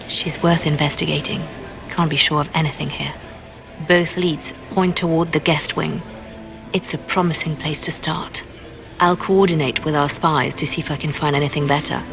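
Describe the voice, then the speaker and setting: An actress playing a shrewd, guarded, businesslike spymaster in a fantasy video game. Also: female voice, low voice, steady tone, normal speed, creaky, music in the background. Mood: guarded, intent.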